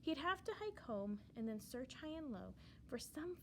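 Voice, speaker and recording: A woman reading a children's storybook aloud in verse, with expressive, dramatic emphasis. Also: high-pitched, normal speed, slight background noise.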